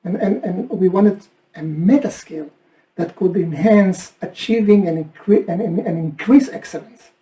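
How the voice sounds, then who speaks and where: A man's voice: high-pitched, unhurried, mellow, distant mic, rough recording. A man with a heavy Israeli accent co-presenting a training session, who often repeats words and restarts his sentences. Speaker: co-host and trainer. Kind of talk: presentation chat.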